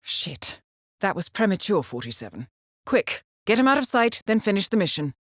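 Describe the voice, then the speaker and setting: An actress playing a cool, dryly witty spy handler in a video game, with a British accent and a measured, polished, understated delivery. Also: female voice, mid-range voice, sing-song, no echo, clean studio sound. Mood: urgent. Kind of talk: scripted video game voice acting, mission briefings.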